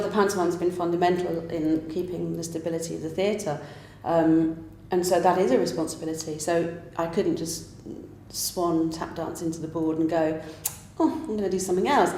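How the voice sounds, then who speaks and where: A woman's voice: lowish voice, steady tone, fairly quick, warm tone. Woman, thoughtful interview answers, roomy echo. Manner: thoughtful, restarts and repeats words. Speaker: pantomime writer and director. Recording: echoey room with faint noise.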